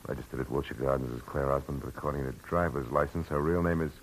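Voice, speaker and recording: An actor playing a tough, world-weary private detective in a 1940s radio drama, talking in clipped, hard lines. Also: male voice, extremely low pitch, fast, creaky, husky, heavily acted. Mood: muttering. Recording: old radio broadcast with faint hiss.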